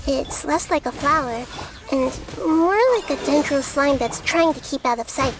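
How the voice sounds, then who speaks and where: A woman playing a small, wise, child-like goddess in a video game, gentle, measured and explanatory. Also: high-pitched, heavy background noise, natural voice. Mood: thoughtful.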